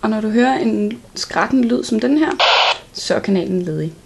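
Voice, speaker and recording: Higher-pitched woman with creaky voice. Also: expressive, darkish tone. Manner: plain step-by-step explaining. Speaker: product demonstrator. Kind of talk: instructional product walkthrough.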